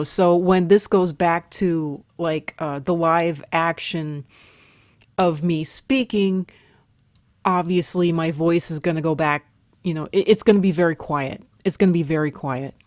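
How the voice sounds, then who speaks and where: Soft-spoken woman with a rough, recovering voice. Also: fairly low pitch, no echo, clean studio sound. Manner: rambling, hesitant, with fillers and restarts. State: voice recovering, still rough and weak. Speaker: artist vlogger. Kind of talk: casual voiceover narration.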